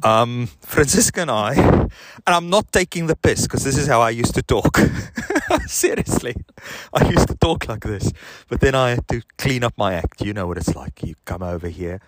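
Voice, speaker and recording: Rambling South African man, lively pitch. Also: moderate pace, few pauses, low-quality recording. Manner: profane, self-mocking, meandering. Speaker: video message maker. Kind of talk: rambling casual monologue. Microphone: handheld recorder.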